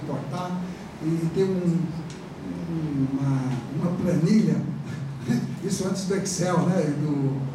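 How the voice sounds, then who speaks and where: A Brazilian man gives a rambling, chatty university lecture, his fairly high voice full of fillers and tag questions. He sounds far from the microphone, in a strongly echoing classroom. Steady background noise runs beneath his words. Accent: Brazilian Portuguese. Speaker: professor. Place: large classroom. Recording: echoey classroom from far off, noisy.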